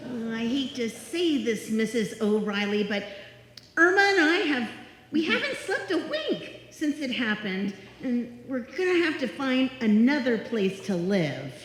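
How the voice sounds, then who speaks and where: A woman's voice: on the high side, big pitch swings, unhurried, fairly bright tone. A woman playing a sensible but flustered young tenant in live old-time radio comedy, hesitant and exasperated. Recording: echoey hall, live stage performance.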